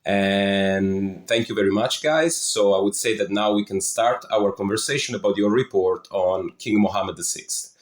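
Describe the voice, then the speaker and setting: Male podcast host, lowish voice, polite scripted delivery, roomy echo. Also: normal speed, hardly any pauses. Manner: reads a formal welcome, then asks questions politely. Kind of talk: scripted podcast introduction and interview.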